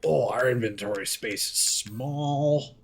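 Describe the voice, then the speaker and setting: A man's voice: medium pitch, slow pace, vocal fry, slight background noise. A man doing live gameplay commentary as a streamer, in a wry, sardonic manner. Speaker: game streamer. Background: video game sound.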